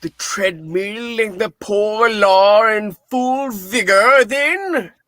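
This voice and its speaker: Man acting a high-pitched, grumpy old miser. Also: extremely slow, pushed and bright, heavily acted. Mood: curt, sarcastic. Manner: irritable, scornful, barking. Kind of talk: dramatic play reading, radio-play style.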